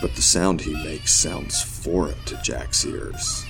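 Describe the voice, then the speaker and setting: A man with an extremely low voice narrates a scripted horror tale. Music plays clearly behind him throughout. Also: wildly swooping pitch, slow.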